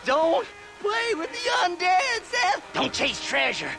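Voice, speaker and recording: An actor playing a crazed, gleefully unhinged grave-robbing treasure hunter in a western video game, with an American Southern accent, a cackling voice and a manic, taunting manner. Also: male voice, very animated, slow, full character voice. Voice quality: high, creaky, cackling voice. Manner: manic, taunting, swinging between mutters and shrieks.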